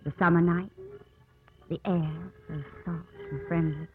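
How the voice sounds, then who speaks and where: A woman's voice: fairly low pitch, flat delivery, measured pace, natural voice. An actress playing a poised, gracious and flirtatious newlywed lady in a 1950s radio drama, with genteel, polished delivery. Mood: softly flirtatious. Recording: tinny 1950s radio recording.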